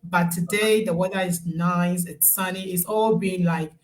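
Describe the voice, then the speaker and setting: A woman's voice: lowish voice, steady tone, a little slow, rough recording. Woman in her thirties, friendly interview guest on a video call, laughs easily. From Ghana. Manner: friendly, laughs often, chatty. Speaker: guest, nurse turned online business owner. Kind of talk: casual live-stream interview.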